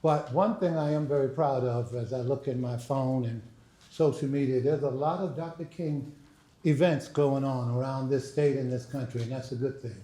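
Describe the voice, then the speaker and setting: Older man, loud speaker in an echoey hall. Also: fairly even intonation, moderate pace. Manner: booming oratory with rising emphasis; chatty, laughing, folksy asides. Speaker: speaker and author. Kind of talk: dramatic reading then casual talk to audience. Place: library event hall. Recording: echoey room.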